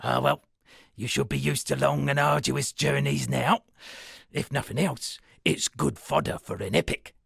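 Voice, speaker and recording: An actor playing a self-satisfied town poet and storyteller in a video game, speaking in a florid, dramatic manner with a gravelly, husky voice. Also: male voice, medium pitch, unhurried, a bit bright, no room sound, clean studio sound, heavily acted. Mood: wry concern. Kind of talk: theatrical game dialogue with declaimed verse.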